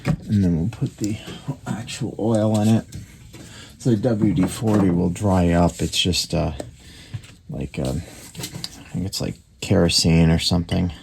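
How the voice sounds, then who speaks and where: A man with a very low, gravelly voice talks through a repair at his workbench in a loose, thinking-aloud way, full of small fillers. The recording carries a clear layer of workshop noise behind him. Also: fairly even intonation, unhurried. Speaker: radio repair host. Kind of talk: casual workbench narration.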